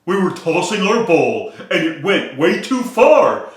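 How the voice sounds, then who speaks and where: A man voicing worried Dalmatian dogs who speak loudly and in a flustered way, recorded in a very echoey room. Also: high-pitched, big pitch swings, a little slow. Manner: flustered, loud. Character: worried pair of long-legged Dalmatians.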